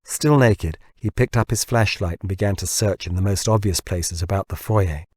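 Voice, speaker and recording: Low, husky male audiobook narrator. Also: normal speed, darkish tone, no echo, clean studio sound. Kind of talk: scripted fiction narration.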